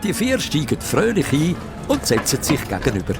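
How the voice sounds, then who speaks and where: Animated Swiss German male narrator. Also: on the high side, moderate pace, vocal fry. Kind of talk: animated children's story narration. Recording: music behind the voice.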